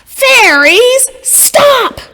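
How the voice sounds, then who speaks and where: A woman voicing a frazzled little old woman in a storybook tale, shrill and frantic. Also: sing-song, extremely slow, bright, forceful tone, full character voice.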